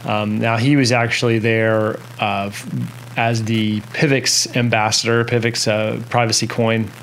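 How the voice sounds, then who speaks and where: A man casually introducing a conference talk to his viewers, pausing often with ums and restarts. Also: lowish voice, level tone, fairly bright tone, a little hiss or hum, rough recording. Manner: hesitant, many ums and restarts. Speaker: channel host.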